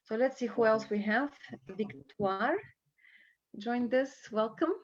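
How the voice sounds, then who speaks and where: A woman hosting a live coaching webinar over a video call, talking with many fillers and pauses. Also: on the high side. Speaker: webinar host and coach trainer. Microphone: computer mic on video call. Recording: dull lo-fi call audio.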